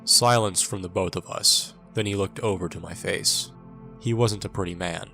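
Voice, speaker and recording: Deep-voiced, bitter young soldier. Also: male voice, normal speed, gravelly, sharp tone, soft background music, full character voice. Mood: subdued. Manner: somber, wry. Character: bitter wounded young male soldier recounting his war. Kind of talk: first-person audio drama narration.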